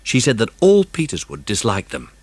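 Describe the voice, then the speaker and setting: A man's voice: lowish voice, huge pitch swings, moderate pace, a little mellow, breathy edge, no echo. A man with a British accent narrating a children's audiobook as a scripted, dramatised story.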